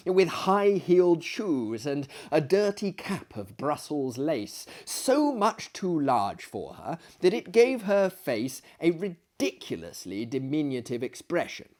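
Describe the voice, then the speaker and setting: Slow, theatrical man reading dramatically. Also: fairly high pitch, a little mellow. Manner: hammy, mannered, deliberate phrasing with dramatic swells and drops. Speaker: dramatic reader and storyteller. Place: small book-lined room indoors, seated in an armchair.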